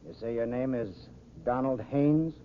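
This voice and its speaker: A man's voice: medium pitch, unhurried, a little hiss or hum, lightly acted. An actor playing a skeptical, streetwise underworld henchman in an old radio crime drama, blunt and slangy. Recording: muffled old radio recording.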